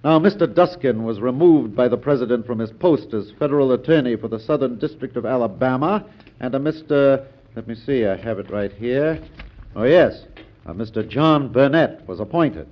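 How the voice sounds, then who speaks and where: An actor playing a calm, scheming American senator in an old-time radio drama, speaking in a measured, oratorical way. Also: male voice, medium pitch, natural voice. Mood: confident, patient. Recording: dull, lo-fi old radio recording.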